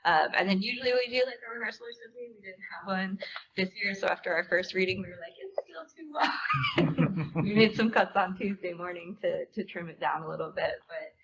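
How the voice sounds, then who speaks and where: A woman's voice: mid-range voice, big pitch swings, brisk, fairly bright tone. A woman answering audience questions casually as a theater performer on a panel, chatty and informal, often saying "like". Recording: dull room recording with clear background noise.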